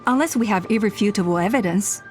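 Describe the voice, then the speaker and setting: A woman's voice: mid-range voice, natural voice. Composed, prudent female elder played by an actress. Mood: cautioning. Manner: measured, advising. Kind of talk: video game voice acting. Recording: game music underneath. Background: game music.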